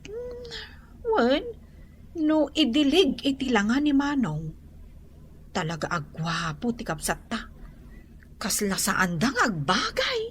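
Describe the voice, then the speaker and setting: Woman acting a bossy ilocano little sister, comedy radio drama, high swoopy voice, drags words out, bit of noise. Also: huge pitch swings, takes it slowly, long pauses, exaggerated voice. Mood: teasing, gossipy.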